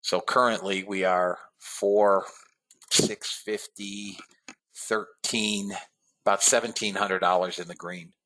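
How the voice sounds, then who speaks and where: A man hosting a live trading webinar, talking casually to his viewers and calling them guys and fellas, a bit hoarse. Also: lowish voice, little pitch movement, slow pace, pushed and bright, low-quality recording. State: slightly hoarse voice. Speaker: trading room host.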